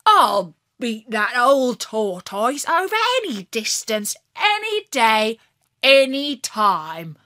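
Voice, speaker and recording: A woman's voice: fairly high pitch, slow, dry recording, studio quality, heavily acted. A woman voicing a boastful, scornful young male hare in a children's story. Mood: mocking. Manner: scornful and excitable.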